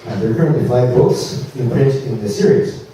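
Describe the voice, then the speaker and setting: A man hosting an event, giving a halting, partly read introduction of a guest. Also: medium pitch, dark tone. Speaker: event host introducing a reader. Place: public reading at an indoor venue. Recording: very echoey room, distant, faint noise.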